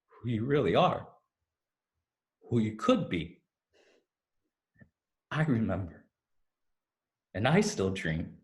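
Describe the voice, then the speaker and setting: An actor playing an intense man who urges a lost fairy to remember himself, in deliberate, poetic lines of a staged play reading. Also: medium pitch, darkish tone. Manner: deliberate, taunting then earnest, poetic. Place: remote staged reading over video call. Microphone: video call microphone.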